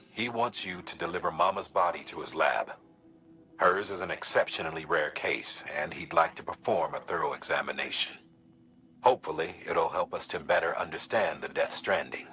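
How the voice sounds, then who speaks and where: An actor playing a grave government handler in a video game, briefing a courier in a measured, earnest, instructive way. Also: male voice, low-pitched, bright, forceful tone.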